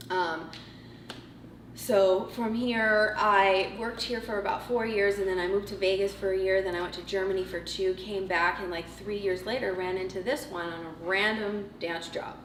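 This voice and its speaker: A young adult woman from Montreal whose first language is French, a choreographer, telling a story in a relaxed, wry way. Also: medium pitch. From Montreal, Canada. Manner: relaxed, wry, laughing along. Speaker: professional choreographer. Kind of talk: casual storytelling. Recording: roomy, distant mic with faint noise.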